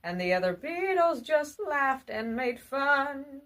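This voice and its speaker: A woman telling a children's bedtime story in a drawn-out, singsong delivery. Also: sits high, big pitch swings, fairly bright tone.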